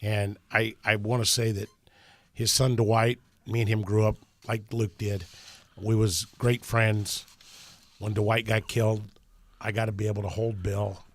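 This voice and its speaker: A man with a deep, plainspoken voice speaks as a racetrack promoter, folksy in his grammar. His voice sits low, and the studio sound is close and dry with no room around it. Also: level tone, unhurried, no room sound. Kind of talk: casual radio chat.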